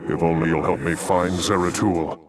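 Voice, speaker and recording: A man's voice: low-pitched, steady tone, normal speed, recorded from a distance. A man voicing a noble, defiant alien templar commander in a video game, with solemn, dignified, formal delivery. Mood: earnest, resolute.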